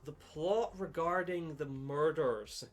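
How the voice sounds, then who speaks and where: A man co-hosting a film podcast in casual chat, halting and rambling, with casual swearing. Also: fairly high pitch. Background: background music.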